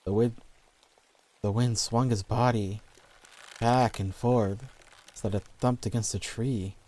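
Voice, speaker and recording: A man's voice: mid-range voice, fairly even intonation, slow pace, background noise. A man reading scripted horror stories as the narrator.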